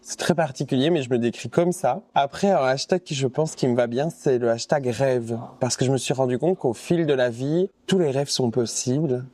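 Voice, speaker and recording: A man's voice: medium pitch, normal speed, few pauses, mellow, no room sound. A man from the Liège area of Belgium, a guest on a podcast, talking with enthusiasm and often restarting with "euh". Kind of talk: candid interview conversation.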